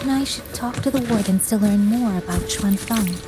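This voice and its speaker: A woman voicing an earnest, courteous young ranger in a video game, speaking in measured, formal phrases. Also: medium pitch, heavy background noise, close to an everyday voice. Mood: earnest, resolute. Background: prominent game music.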